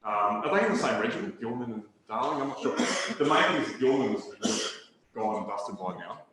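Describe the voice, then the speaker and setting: A man giving a history talk to an audience, in a measured academic way with frequent restarts. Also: on the low side, lively intonation, crowd noise. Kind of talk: lecture with audience Q&A. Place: hall with audience. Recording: very echoey room recording.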